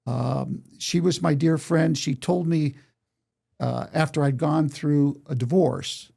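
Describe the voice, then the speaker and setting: A man's voice: fairly high pitch, fairly even intonation, takes it slowly, vocal fry, dark tone. A man in his eighties telling stories from his life in a conversational interview, quoting remembered exchanges as he goes. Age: 80s. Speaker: interview guest, songwriter and storyteller.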